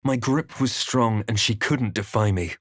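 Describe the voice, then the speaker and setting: A man playing a solemn, thoughtful adventurer hero in a fantasy video game, speaking in measured, dramatic lines. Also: lowish voice, fairly bright tone, no room sound, clean studio sound. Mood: solemn narration. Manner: measured, dramatic, literary delivery. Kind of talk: scripted game narration and character lines.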